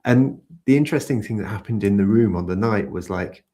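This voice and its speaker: Soft-spoken guest with a very low voice, gentle and unhurried, telling a founding story. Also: male voice. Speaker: community founder, podcast guest. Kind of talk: storytelling interview answer.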